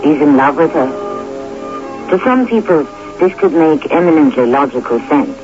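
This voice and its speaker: A man's voice: on the high side, expressive. Dramatic male radio host over music. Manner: philosophical, deliberate, rhetorical. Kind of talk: dramatic scripted narration. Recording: dull old radio recording.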